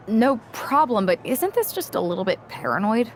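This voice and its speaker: A woman's voice: a little high, very animated, moderate pace, a little hiss or hum. A woman playing an amused, gossipy wrestler friend in casual video game cutscene dialogue. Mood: amused, teasing.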